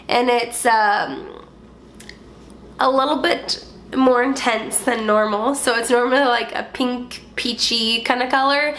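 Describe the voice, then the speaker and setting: A woman's voice: sits high, a bit bright, slight background noise. Chatty young woman with a stuffy voice. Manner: chatty, lots of "like" fillers. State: stuffy, voice partly gone from a cold. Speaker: beauty vlogger. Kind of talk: casual product review.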